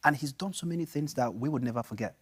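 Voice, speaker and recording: A Nigerian man with an Igbo mother tongue talks football on a studio chat show. His voice sits at an ordinary middle pitch, and he rambles with animated restarts and plenty of "you know". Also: fast talker, slightly rough. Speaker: show co-host, pundit.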